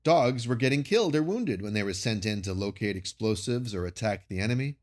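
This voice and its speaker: A man's voice: fairly low pitch, animated, fairly quick. A man co-hosting a podcast, making dry sarcastic asides and reading passages from an article aloud. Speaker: podcast co-host. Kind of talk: casual podcast chat, reading article excerpts.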